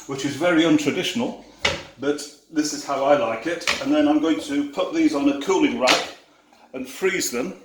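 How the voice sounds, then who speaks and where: A man's voice: a little high, background noise. Easygoing Yorkshire man in an echoey kitchen. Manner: easygoing, self-deprecating, talks while working. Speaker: cooking video host. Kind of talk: relaxed cooking demonstration. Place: home kitchen. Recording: echoey kitchen with clattering dishes.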